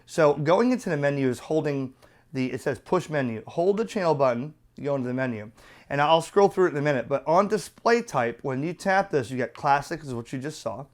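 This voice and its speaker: Middle-aged man, higher-than-average pitch, casual reviewer, chatty, opinionated, colloquial phrasing. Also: expressive, a little fast. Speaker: radio reviewer.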